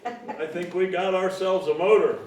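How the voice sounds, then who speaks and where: A man's voice: high voice, steady tone, normal speed, a little mellow, slight background noise. Laid-back man reading lines from afar. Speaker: table read actor. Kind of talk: comedic table reading. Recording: echoey room, far from mic.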